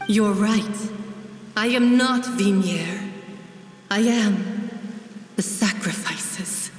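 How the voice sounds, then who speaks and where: Game casting: merged ghost of wronged women, measured and accusing, distant in long reverb. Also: female voice, mid-range voice. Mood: cold, solemn. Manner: slow, measured, accusatory speech. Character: merged spirit of murdered women, sorrowful, accusing. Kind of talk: dramatic video game voice acting.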